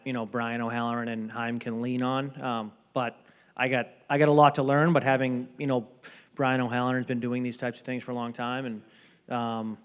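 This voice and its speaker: Boston-accented man, smooth relaxed voice. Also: mid-range voice. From Boston area. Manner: relaxed, many ums and restarts. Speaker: baseball front office executive. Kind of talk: casual interview at a dinner event.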